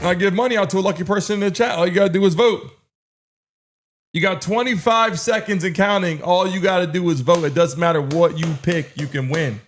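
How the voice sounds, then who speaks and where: A man with a fairly high voice runs a live stream. He talks straight to his chat in an urgent, insistent way, repeating his calls and counting down as he goes. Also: brisk, faint music behind. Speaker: livestream host running chat polls.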